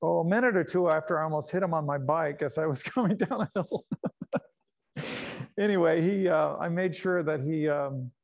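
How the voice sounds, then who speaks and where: A man's voice: on the high side, moderate pace, creaky. A middle-aged man who grew up in Panama, giving a casual slide talk about his nature photos, rambling with fillers, restarts and chuckles.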